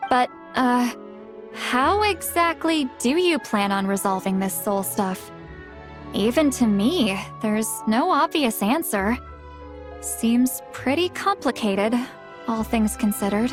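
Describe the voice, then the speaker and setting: An actress voicing a laid-back, quietly confident young woman medium in a game, with a languid, casual delivery. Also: a little high, animated, slow. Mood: laid-back, doubtful. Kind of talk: scripted video game character dialogue. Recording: game music behind the voice.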